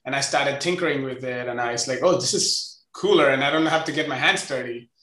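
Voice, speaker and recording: A man's voice: mid-range voice, fairly quick, fairly bright tone, reverberant. A man in his forties from India, with an Indian accent, casually telling stories as an interview guest. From Chennai, India. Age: 40s. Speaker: interview guest, company co-founder. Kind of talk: casual conversational storytelling.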